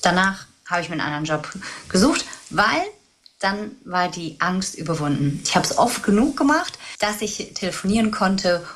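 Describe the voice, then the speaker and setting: A woman's voice: medium pitch, lively intonation, moderate pace, a bit bright. A woman from Spain, a trauma and mindset coach, telling her story as a guest in an earnest, reflective way. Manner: earnest, reflective, speaks in long flowing stretches. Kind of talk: podcast interview, personal storytelling.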